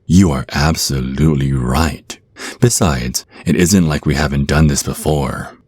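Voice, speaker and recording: A man's voice: extremely low pitch, measured pace, a little mellow, breathy edge, no room sound, studio quality, exaggerated voice. A man playing a menacing vampire naga kidnapper in an ASMR roleplay, coaxing and mock-polite. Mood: sly, confident. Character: menacing, smooth-talking vampire naga brother, male kidnapper.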